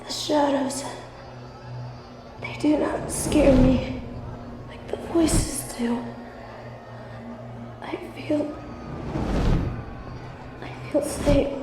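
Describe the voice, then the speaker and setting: A high-voiced, tormented fallen angel woman, acted by a woman, speaking extremely slowly in breathy fragments. Her dazed murmurs echo in a roomy space beneath loud music. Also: steady tone, background noise. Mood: dreamy, soothed. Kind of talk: dramatic audio roleplay acting.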